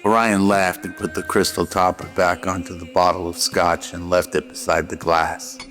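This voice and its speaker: A man's voice: sits low, flat delivery, normal speed, fairly bright tone. A man narrating a scripted noir radio drama. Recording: music behind the voice.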